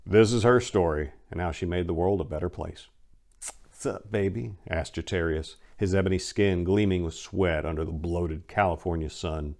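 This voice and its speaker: A man's voice: low voice, a little mellow. A man hosting and narrating a dramatic reading of a short story in a storytelling style. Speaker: channel host reading own short story.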